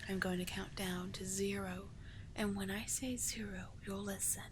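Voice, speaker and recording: A woman voicing a seductive, doting, manipulative sea witch in an ASMR roleplay, breathy and coaxing, lingering on her words. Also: mid-range voice, flat delivery, moderate pace, close to an everyday voice. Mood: commanding, hypnotic. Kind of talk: ASMR roleplay, slow hypnotic sleep-aid delivery. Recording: clearly noisy, with ambient sound.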